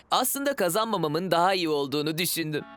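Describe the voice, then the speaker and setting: A woman voicing a cheerful, vain but loyal young car in a children's cartoon dub, expressive and sweet. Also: lowish voice, moderate pace, loud music behind the voice, lightly acted. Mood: warm, reassuring.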